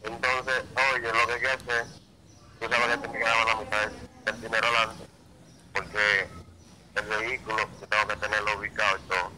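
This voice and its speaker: Low-voiced man on noisy speakerphone. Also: level tone, moderate pace, long pauses, pushed and bright. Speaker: singer taking a booking call. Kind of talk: phone negotiation. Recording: speakerphone call with clear background noise.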